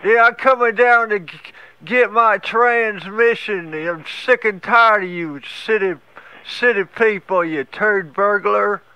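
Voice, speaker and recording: A man's voice: sits high, a little slow, pushed and bright, smooth, dry recording, lo-fi sound, heavily acted. A man doing a stammering, angry hillbilly. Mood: insulting. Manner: stammering, hurling folksy insults. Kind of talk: prank phone call.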